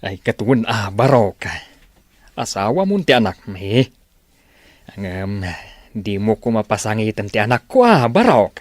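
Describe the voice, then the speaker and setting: A man with an Ilocano accent acting a soft-hearted, protective older village father in a drama. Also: on the low side, sing-song, measured pace, no echo. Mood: tender, concerned.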